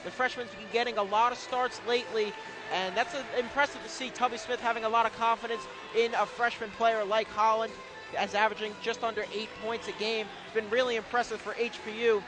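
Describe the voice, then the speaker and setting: Very high-pitched male basketball analyst. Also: flat delivery, brisk, over music, a crowd in the background. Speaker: radio color commentator. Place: indoor college basketball arena.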